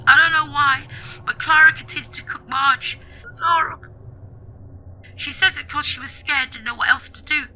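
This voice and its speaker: Woman putting on a bright, high, tearful young woman. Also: low-quality recording. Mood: tearful, shaken. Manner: halting, stammering, breathlessly confiding. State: tearful, upset. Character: distraught, sobbing, hesitant young female school worker, gossipy.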